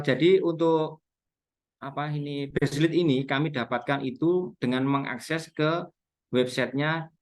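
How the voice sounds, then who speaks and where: A man with a Javanese Indonesian accent giving an informal explanatory webinar talk, going step by step and spelling out terms as a speaker on local history. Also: medium pitch.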